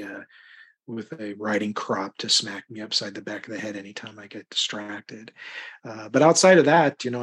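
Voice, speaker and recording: Middle-aged male author as interview guest, slightly deep and husky, conversational, loosely rambling delivery. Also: a bit bright. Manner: rambling, thinking aloud in broken phrases. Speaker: interview guest, crime novelist. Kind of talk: casual conversational interview answers.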